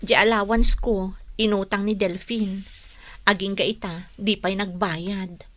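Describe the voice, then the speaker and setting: A woman's voice: mid-range voice, level tone, unhurried, a bit bright, no echo, close to an everyday voice. A woman playing a troubled but helpful young student and grateful friend in a radio drama.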